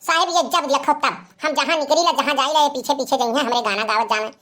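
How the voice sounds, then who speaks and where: A woman voicing a feisty, sharp-tongued young village woman with an Awadhi accent in a comedy cartoon. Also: high voice, fast talker. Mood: complaining.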